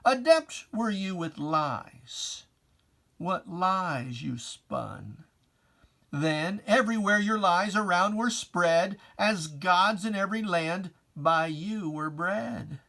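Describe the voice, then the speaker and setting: A man's voice: sits high, lively intonation, slow, creaky, sharp tone. A man giving a dramatic reading of religious verse in an emphatic, declamatory, theatrical manner. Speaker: poetry reader.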